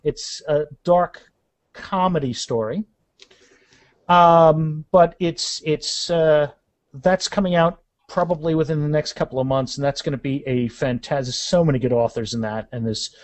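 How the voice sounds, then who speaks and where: Higher-pitched man chatting casually in an interview. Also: unhurried, dry recording. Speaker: author interviewed as podcast guest. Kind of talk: casual interview chat with anecdotes.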